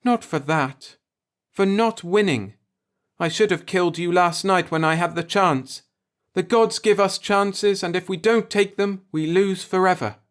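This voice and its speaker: A man's voice: high voice, measured pace, clean tone, voice pushed up. A man voicing a proud, embittered old alien man who speaks with formal solemnity in a science fiction audiobook. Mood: solemn, bitter. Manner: formal, solemn, grieving speech.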